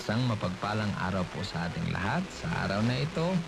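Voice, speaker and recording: A man's voice: lowish voice, big pitch swings, fairly quick, creaky. A man with a Filipino accent presenting a scripted radio health infomercial as a practitioner. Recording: loud music bed behind voice. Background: loud music.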